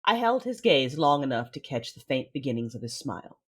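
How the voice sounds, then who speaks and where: Husky male dark lord, played by an actor, mid-pitched, guarded and imperious, for sci-fi audio drama. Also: very animated, brisk. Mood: brooding, controlled. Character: commanding, guarded dark-side Sith lord narrating inwardly. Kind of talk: first-person audio drama narration and dialogue.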